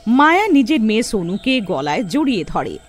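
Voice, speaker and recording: A woman's voice: on the high side, sing-song, normal speed, faint music behind. A woman narrating a scripted cartoon tale as a storyteller.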